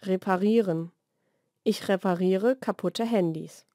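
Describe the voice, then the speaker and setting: A woman narrates a scripted vocabulary lesson. She enunciates deliberately and in a measured way, moving between single words and short example sentences. The sound is dry and close, as in a studio voice-over. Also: mid-range voice, mellow, no echo.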